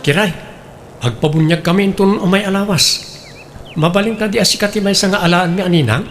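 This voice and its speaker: A man's voice: high voice, a little fast, background noise, lightly acted. A man playing a friendly, persuasive young father in a comedic radio drama, chatty and teasing.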